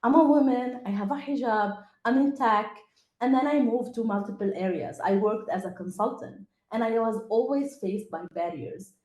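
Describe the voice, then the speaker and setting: A woman's voice: a little high, normal speed, dark tone, highs cut off. A woman from Lebanon with a Lebanese accent and Arabic as her first language, introducing herself as a panelist to students on an online call. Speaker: panelist, tech programme lead. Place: indoor room, online video call. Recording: roomy, echoey call audio.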